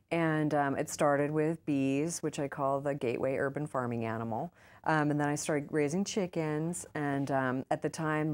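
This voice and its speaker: A woman's voice: sits low, darkish tone. An American woman in her thirties talking casually as an interview guest, informal and peppered with "like" and "you know". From United States. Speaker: guest author and urban farmer.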